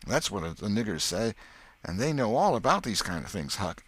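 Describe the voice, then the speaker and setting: A man voicing an excitable, superstitious, self-pitying schoolboy in a rural Southern American dialect for an audiobook. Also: fairly low pitch, normal speed, slightly rough, no echo, voice pushed up. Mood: eager, superstitious. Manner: folksy dialect, exclaiming and whispering. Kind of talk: audiobook character acting.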